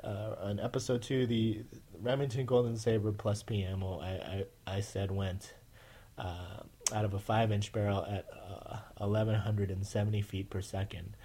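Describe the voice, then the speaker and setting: A man's voice: on the low side, flat delivery, normal speed, mellow, husky. A man hosting a casual podcast, with a nasal voice, rambling with many fillers and restarts. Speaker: podcast host.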